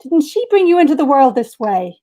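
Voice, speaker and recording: An earnest nurse, voiced by a woman reading her novel, gently instructing a patient. She sounds frank and reassuring, with a high voice suited to a storybook. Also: sing-song, a little fast.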